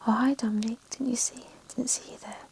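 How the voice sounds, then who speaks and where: A woman voicing a chatty woman on holiday, in a soft unvoiced whisper. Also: a little high, steady tone, brisk, background noise, lightly acted.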